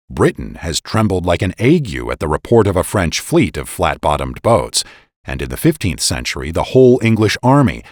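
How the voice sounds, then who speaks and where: A man's voice: deep voice, dry recording, clean studio sound. A man reading scripted historical narration in a solemn, oratorical way with emphatic phrasing. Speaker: podcast narrator and reader.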